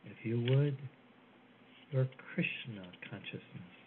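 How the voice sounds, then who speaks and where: A man delivering a channeled spiritual message in a soft, solemn, measured way with long pauses. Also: mid-range voice. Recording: phone-like audio with faint hiss.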